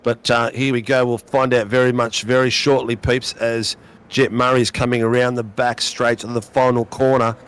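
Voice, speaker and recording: A man with a hoarse voice calling a sim race with excited, rapid play-by-play. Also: medium pitch. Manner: fast, exclamatory, calls names rapidly. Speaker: race commentator.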